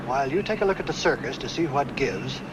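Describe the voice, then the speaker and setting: An actor playing a cocky, loyal detective's sidekick in a 1950s film noir. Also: male voice, medium pitch, expressive, fast talker, natural voice. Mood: eager. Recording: noisy old film soundtrack.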